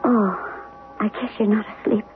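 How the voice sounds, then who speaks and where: An actress in a 1970s radio drama playing a worried, practical middle-aged wife, coaxing and questioning in plain words. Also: female voice, medium pitch, fairly even intonation, normal speed, soft background music, natural voice. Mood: gentle concern. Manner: probing, coaxing, plain-spoken. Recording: tinny, hissy old radio broadcast.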